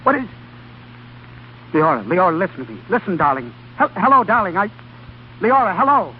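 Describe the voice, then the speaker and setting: An actor playing an earnest, emotionally intense doctor and devoted husband, hesitant and stammering, in an old-time radio drama. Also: male voice, high voice, very animated, normal speed, slight background noise. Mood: urgent, alarmed. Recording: dull vintage radio recording, faint music.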